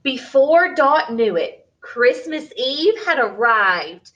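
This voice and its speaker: High-voiced woman reading a children's story slowly. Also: highs cut off. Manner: expressive, deliberate delivery for young children. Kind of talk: read-aloud picture book storytelling.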